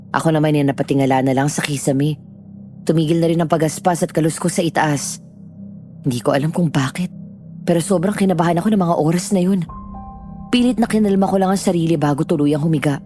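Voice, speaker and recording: Female horror-story narrator, deep register, dark mellow tone, soft music behind. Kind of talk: scripted first-person horror narration.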